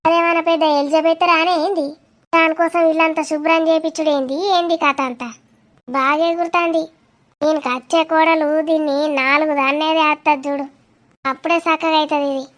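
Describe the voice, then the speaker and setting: A woman's voice: high voice, flat delivery, a little fast, a bit bright. A woman voicing a nosy, grumbling village aunt in a cartoon comedy, with a Telangana Telugu accent and a blunt, gossipy way of talking. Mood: scornful, grumbling.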